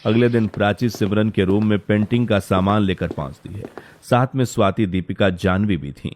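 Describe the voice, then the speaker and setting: A man's voice: lowish voice, moderate pace, a little mellow, slight background noise. A man narrating a scripted story as its storyteller.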